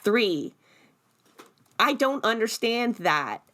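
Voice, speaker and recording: A woman's voice: a little high, big pitch swings, takes it slowly, bright, forceful tone. A Canadian woman hosting a podcast, speaking in a conversational political discussion. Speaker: podcast host.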